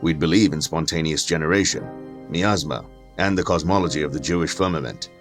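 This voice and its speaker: An actor playing a haughty, learned skeptic in an audio drama, formal, condescending and given to lecturing. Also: male voice, rumbling low, a little fast, faint music behind. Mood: earnest, argumentative. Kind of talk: dramatic audio fiction acting.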